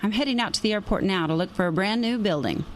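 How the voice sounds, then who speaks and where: A woman's voice: medium pitch, animated, quick pace, slight background noise, natural voice. A woman playing a nosy, coaxing investigator in a radio drama, with a wry, persistent manner.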